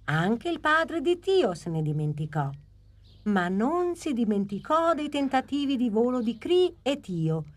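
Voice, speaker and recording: A woman tells the story with calm, even storybook narration between the scenes. Her voice sits high and moves with a lively lilt. It is the voice-over of a dubbed cartoon. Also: a little slow.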